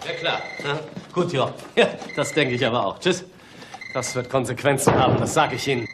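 A man's voice: mid-range voice, moderate pace, background noise, close to an everyday voice. Man playing an arrogant, haughty murder suspect. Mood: indignant. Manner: haughty, scornful. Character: arrogant, indignant, well-connected male murder suspect. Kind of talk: German radio play acting.

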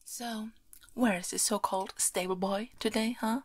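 A woman performing an ASMR roleplay as a haughty, teasing, demanding young princess, soft-spoken and close, often slipping into a whisper. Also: medium pitch, normal speed, natural voice. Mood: teasing, casual. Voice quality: breathy, often dropping into whispers. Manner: playfully bossy, imperious, expressive.

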